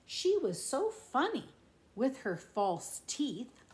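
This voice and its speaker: A middle-aged woman reads a children's storybook with playful, sing-song expression. Her fairly high voice swoops widely and takes its time. It is recorded indoors in an echoey room. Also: very animated, slow. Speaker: grandmother reading a picture book to grandchildren. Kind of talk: animated children's storybook read-aloud with asides.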